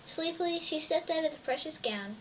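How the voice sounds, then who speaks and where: A woman's voice: sits high, expressive, fairly quick, a bit bright. A woman reading a children's storybook aloud expressively, full of wonder and exclamation, addressing young listeners. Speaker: storybook reader. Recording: distant mic, faint background noise.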